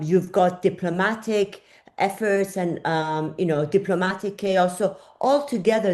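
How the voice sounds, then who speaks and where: An older woman from Iran, a journalist answering interview questions in a thoughtful way, often pausing with fillers as she looks for words. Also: lowish voice, a little mellow. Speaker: journalist guest.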